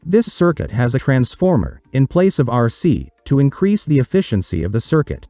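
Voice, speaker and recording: A man reading a scripted explanatory narration for a tutorial, in a measured, didactic, step-by-step manner. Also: mid-range voice, very animated, mellow, no echo, lo-fi sound, soft background music.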